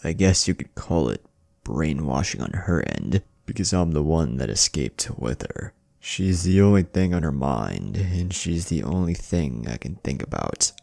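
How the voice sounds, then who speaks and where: A man narrating a first-person horror story as a haunted, lovestruck teenage boy, brooding and halting with dramatic pauses. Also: extremely low pitch, sing-song, gravelly, a little mellow, no echo. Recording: music behind the voice.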